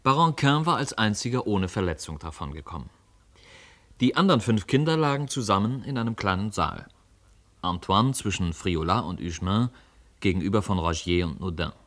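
Male narrator, literary radio play: deep register, scripted storytelling. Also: animated, normal speed, fairly bright tone.

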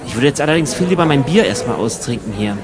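A man's voice: mid-range voice, lively intonation, fast talker, music in the background. A man in casual banter, talking in a dry, grumbling, argumentative manner. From Germany. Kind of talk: casual banter in an audio collage.

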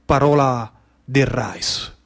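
A man with a Sicilian accent performing a theatrical storytelling monologue in a rhythmic, incantatory manner, with repeated phrases and dramatic pauses. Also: mid-range voice, gravelly. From Sicily, Trapani area. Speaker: actor and storyteller.

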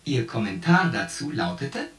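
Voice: medium pitch, very animated, normal speed, natural voice. An actor voicing a shipboard artificial intelligence in a science fiction radio play, coldly logical and pedantic as it itemizes its points. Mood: coldly analytical. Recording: roomy echo, distant voice, music behind.

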